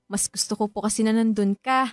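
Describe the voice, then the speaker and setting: An actress playing a polite thirteen-year-old daughter in a Filipino radio drama, speaking in a youthful, respectful way. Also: female voice, medium pitch, fairly even intonation, fairly quick, no room sound, clean studio sound, lightly acted. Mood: eager.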